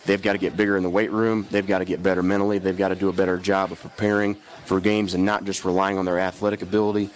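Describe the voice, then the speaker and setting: Male sports show host, low and level in delivery, offering analysis and interviewing about football. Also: little pitch movement, quick pace, few pauses.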